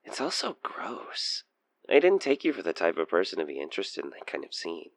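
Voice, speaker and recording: Male actor as obsessed stalker killer for audio drama: low, theatrically animated, playfully menacing, infatuated, murmuring. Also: a little fast, dry recording, heavily acted. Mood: taunting. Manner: theatrical, teasing, slipping between murmurs and whispers. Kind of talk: intimate audio roleplay monologue.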